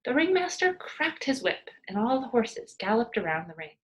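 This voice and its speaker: A woman reading a children's story aloud like a teacher, expressive and dramatic. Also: a little high, normal speed.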